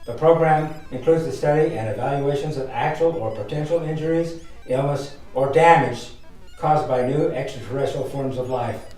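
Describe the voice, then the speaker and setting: A man's voice: mid-range voice, normal speed, darkish tone, natural voice. A man acting as an impersonal announcer who reads a military file formally, heard from a distance in a very echoey space. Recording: very echoey, distant, faint music.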